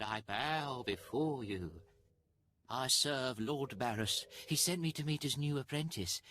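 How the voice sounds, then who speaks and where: An actor playing a timid, fawning slave in a video game, servile and halting, eager to please. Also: male voice, lowish voice, bright, forceful tone, a little hiss or hum. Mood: awed fawning.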